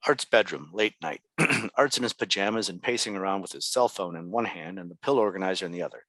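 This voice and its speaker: A man's voice: low-pitched, quick pace, a bit bright, dry recording. A man reading out scene descriptions as the narrator of a script. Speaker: stage directions narrator.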